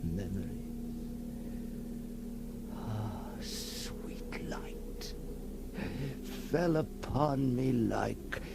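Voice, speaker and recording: A man playing a tormented, awestruck figure emerging from long confinement in a theatrical 1960s radio horror monologue, halting and breathless, repeating his words. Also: lowish voice, animated, creaky, in a whisper, exaggerated voice. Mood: hushed awe. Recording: noisy old 1960s radio recording.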